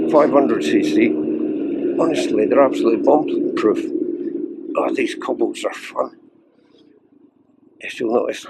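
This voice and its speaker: Croaky Scottish man riding a trike. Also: lowish voice. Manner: enthusiastic, rambling with fillers. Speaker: motorcycle vlogger. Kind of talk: casual ride-along chat. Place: outdoors riding a three-wheeled motorcycle. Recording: loud engine and road noise.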